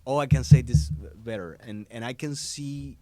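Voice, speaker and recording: A male voice actor answering interview questions in a podcast studio, measured and thoughtful with pauses. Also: medium pitch, mellow. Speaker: voice actor and audiobook narrator. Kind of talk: conversational interview. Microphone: studio mic.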